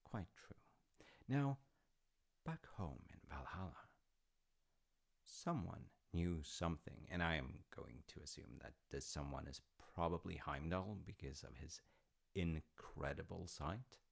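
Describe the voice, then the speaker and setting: A man acts out an easygoing middle-aged dad in an intimate audio roleplay. His voice is very deep, with a heavy gravelly creak. He takes his time, speaking slowly and wandering into wry, hesitant asides as he tells his tale. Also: expressive, exaggerated voice. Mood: amused, storytelling. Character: easygoing dad telling his grown child Norse myths. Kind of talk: intimate conversational storytelling roleplay.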